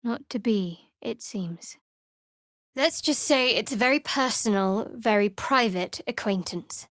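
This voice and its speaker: An actress voicing a guarded, devout dark cleric woman in a video game, with a dry, sardonic, measured delivery. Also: fairly high pitch, no echo. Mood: reserved, devout. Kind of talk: video game character voice acting.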